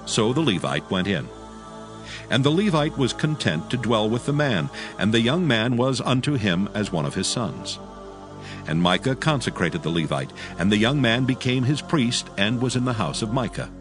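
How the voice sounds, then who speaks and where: A man's voice: medium pitch, very animated, normal speed, over music. A man giving solemn, storytelling narration of dramatized scripture.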